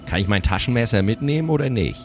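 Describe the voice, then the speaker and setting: A man's voice: medium pitch, lively intonation, quick pace, faint music behind, close to an everyday voice. A man playing an easygoing, chatty seaman, rambling in a folksy way in an improvised audio play. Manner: laid-back, rambling, folksy.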